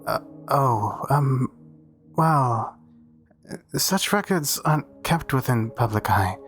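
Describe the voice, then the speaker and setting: A man's voice: mid-range voice, lively intonation, takes it slowly, fairly bright tone, faint music behind. A man playing a meek, devout and easily flustered cathedral priest in a roleplay audio drama, speaking formally and hesitantly. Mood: nervous, hesitant.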